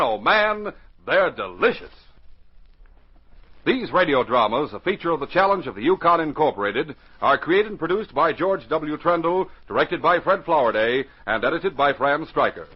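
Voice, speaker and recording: Animated, husky old-time radio announcer, male. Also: fairly high pitch. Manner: dramatic, emphatic, carefully enunciated. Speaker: announcer and narrator. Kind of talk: 1940s radio narration and sponsor announcing. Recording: dull 1940s radio recording.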